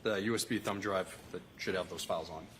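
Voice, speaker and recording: A man's voice: low voice, fairly quick, a bit bright, a little hiss or hum. A man testifying as a state trooper in court, reading out records in a matter-of-fact, formulaic way. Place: courtroom witness stand.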